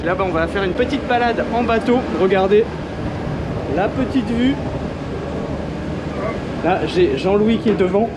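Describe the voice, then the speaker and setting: A man's voice: sits high, unhurried, long pauses. A man from northern France filming a casual travel vlog on a tour boat, chatting to camera with lots of fillers and restarts. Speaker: travel vlogger. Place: on a tour boat, on deck and inside. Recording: noisy outdoor boat ambience. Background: wind and boat engine noise.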